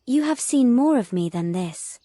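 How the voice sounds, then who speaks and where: High, soft-toned defiant mermaid played by a woman. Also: animated, a little slow, dry recording, clean studio sound. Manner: stilted, formal phrasing without contractions. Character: defiant, naive young mermaid turned human woman. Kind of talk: fantasy audio drama acting.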